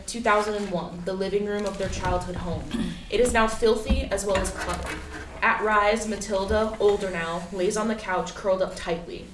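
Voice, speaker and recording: Distant, echoey woman reading stage directions. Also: medium pitch. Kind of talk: scripted narration. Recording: distant mic, echoey room, clear noise.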